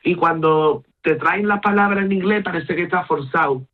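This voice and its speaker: A woman's voice: lowish voice, normal speed. A middle-aged woman from Gibraltar with a Gibraltarian Llanito accent, chatting in an interview and switching between Spanish and English mid-sentence. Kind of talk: casual conversational interview. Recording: tinny phone-like line.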